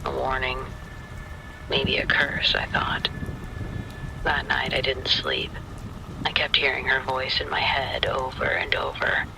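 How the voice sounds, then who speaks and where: A man narrating a scripted spooky story in the first person, in a hushed, deliberate, suspenseful manner. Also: mid-range voice, vocal fry, background noise.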